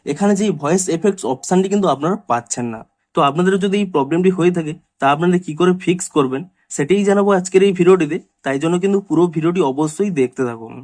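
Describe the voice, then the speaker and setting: Male tech tutorial host, extremely rapid delivery, slightly high voice, chained step-by-step instructions. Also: darkish tone. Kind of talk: step-by-step screen tutorial narration.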